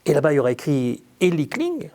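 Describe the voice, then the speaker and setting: A man's voice: on the high side, huge pitch swings, fast, dark tone. A man from France, a rabbi giving an informal religious talk in a conversational, humorous storytelling manner, with rhetorical questions. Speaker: rabbi teaching.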